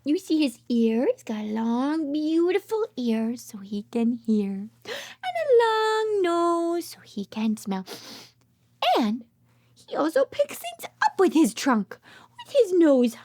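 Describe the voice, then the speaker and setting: Very high, swooping woman reading to toddlers. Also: sing-song, slow pace, a little mellow, no echo, studio quality. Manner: exaggerated sing-song child-directed talk; gasps and drops into whispers. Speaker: children's read-aloud host, speech therapist. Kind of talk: interactive picture-book read-aloud for toddlers.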